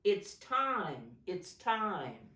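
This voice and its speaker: Slow, low-voiced woman reading to children, echoey room. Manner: expressive, simple explanations and questions addressed to small children. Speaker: preschool teacher reading a picture book aloud. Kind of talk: storytime read-aloud for young children. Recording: roomy, echoey sound, distant from mic.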